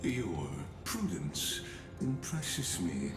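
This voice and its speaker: An actor playing a courteous, calculating high-ranking envoy in a video game, speaking in a formal, measured way. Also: male voice, sing-song, exaggerated voice. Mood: calm, diplomatic. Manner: formal, measured, smooth-tongued. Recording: echoey, with music behind.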